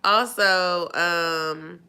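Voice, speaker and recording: A woman's voice: on the low side, fairly even intonation, drawn-out words, pushed and bright, smooth. A woman chatting casually on a live stream, nasal and stuffy from a cold, rambling with lots of fillers. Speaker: vlogger answering live chat.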